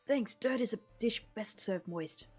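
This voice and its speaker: A woman's voice: a little high, a little slow, darkish tone, slightly rough, faint music behind. A woman voicing a chatty, stubborn teenage boy runner, whining and out of breath, for a visual novel. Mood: cheeky. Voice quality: panting, gasping. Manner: whining, rambling, protesting.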